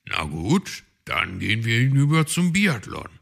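Hearty fatherly old fox, gravelly, husky, storybook, spoken by a man. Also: medium pitch, very animated, unhurried, a bit bright, heavily acted. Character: kind elderly male fox, trainer.

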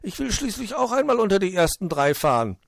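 A man's voice: sits high, lively intonation, normal speed, no room sound, studio quality, voice pushed up. A man voicing a small, naive hedgehog child in a children's story, eager and easily indignant. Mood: pleading, sulky.

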